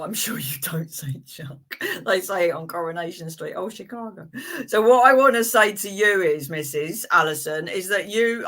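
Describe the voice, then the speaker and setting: Lady tarot reader on a livestream, chatty and friendly, bright sharp voice, lots of ums and laughs. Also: mid-range voice. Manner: chatty and friendly, calls viewers "girlfriend"; frequent laughs and "um" fillers. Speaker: tarot reader hosting live readings. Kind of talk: live-stream chat, reading cards for viewers.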